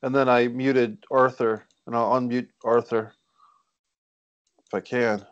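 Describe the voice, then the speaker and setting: A man's voice: medium pitch, level tone, unhurried. A man casually moderating an online video call as its host. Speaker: host of an online table read. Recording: dry, slightly dull call audio.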